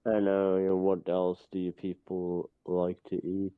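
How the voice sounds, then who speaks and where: A man with a very deep, low voice cracks dry, teasing jokes during a stream chat. His sound is thin and tinny, like a phone line, and dry with no room sound. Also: completely flat delivery, unhurried, clean tone, no room sound. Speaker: stream guest. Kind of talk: casual chat over a call. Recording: tinny phone-like call audio.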